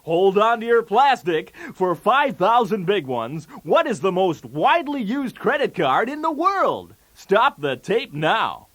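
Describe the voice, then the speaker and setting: A man's voice: high-pitched, sing-song, unhurried, hardly any pauses, a bit bright, heavily acted. A man playing a brash, wisecracking 1980s TV game show host with showy, punchy patter. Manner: showy, jokey, with punchy delivery of gags.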